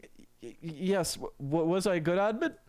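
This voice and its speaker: A man's voice: high voice, lively intonation, normal speed, a little mellow, no room sound, voice pushed up. A man voicing a lonely, timid fifty-year-old gamer who stammers and mumbles shyly in a dramatic story reading. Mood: timid, pleading. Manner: stammering, shy, hesitant, mumbling.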